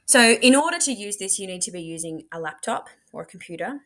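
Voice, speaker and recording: A woman's voice: mid-range voice, expressive, quick pace, fairly bright tone. A woman, a teacher, giving a chatty instructional screen demo, talking through each step aloud. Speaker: teacher presenting a software tutorial. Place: indoor room at a computer.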